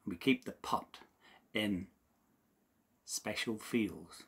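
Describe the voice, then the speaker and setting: A man voicing a cheeky, indignant little male leprechaun shoemaker who scolds loudly. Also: fairly low pitch, drawn-out words, gravelly, slightly rough, full character voice. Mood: gleeful. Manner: scolding, raised and blustery.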